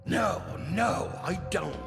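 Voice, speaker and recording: An actor playing an elderly priest in a dramatic video game cutscene. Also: male voice, high-pitched, slow pace, vocal fry, over music, exaggerated voice. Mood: cowed. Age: older. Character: stern, fearful old male priest.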